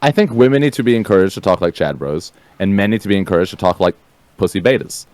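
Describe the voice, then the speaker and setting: A man talking casually, fast and passionately, swearing, repeating and restarting words. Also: low-pitched, lively intonation, a little mellow, dry recording. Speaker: streamer guest. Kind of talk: casual chat.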